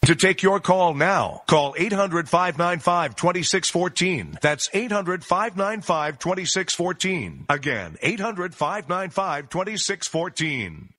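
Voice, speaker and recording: Bright, high-voiced ad announcer man. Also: animated. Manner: brisk, pushy. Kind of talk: scripted radio ad read.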